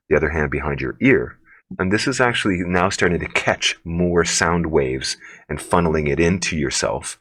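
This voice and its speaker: A man with a deep bass voice, speaking as a teacher. His delivery is conversational and deliberate, with an encouraging manner as he thinks his points through aloud. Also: fairly bright tone.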